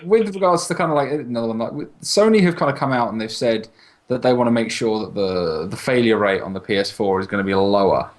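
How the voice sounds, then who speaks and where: A man's voice: medium pitch, fast talker. A man co-hosting a gaming podcast in casual banter, swearing freely and slipping into mock voices. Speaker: podcast co-host.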